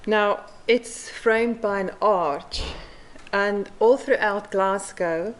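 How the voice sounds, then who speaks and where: A middle-aged woman with a South African accent giving a measured conference talk about her mosaic art, pausing often with "um". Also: mid-range voice, low-quality recording. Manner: slow and measured, with frequent "um" pauses. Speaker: mosaic artist presenting at a forum. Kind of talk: conference presentation.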